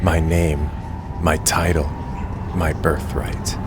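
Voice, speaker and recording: A man's voice: a bass voice, level tone, slow, background noise. An actor voicing a bitter young nobleman, narrating his memory in the first person with grim, seething delivery. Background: orchestral score under the voice.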